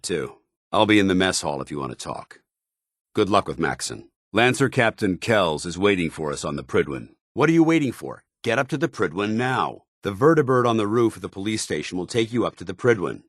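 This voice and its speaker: A very deep, gruff military paladin. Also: male voice, expressive, brisk, fairly bright tone, dry recording, clean studio sound. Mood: urgent. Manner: earnest, clipped military formality. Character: stern, loyal, zealous military paladin, gruff man. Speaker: companion soldier character. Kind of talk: video game voice acting.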